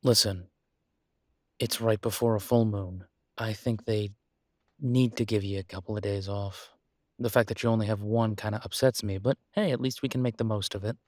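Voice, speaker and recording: A man's voice: fairly low pitch, fairly even intonation, moderate pace, no echo, clean studio sound, natural voice. A man playing an easygoing, supportive boyfriend in an intimate one-sided roleplay audio, talking casually and reassuringly with folksy turns of phrase. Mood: affectionate, casual.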